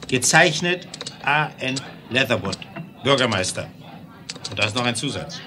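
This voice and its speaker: A man's voice: medium pitch, animated, moderate pace, lightly acted. An actor playing a confident, amused Wild West townsman with a declaiming delivery in a German radio play. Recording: slightly noisy 1970s record.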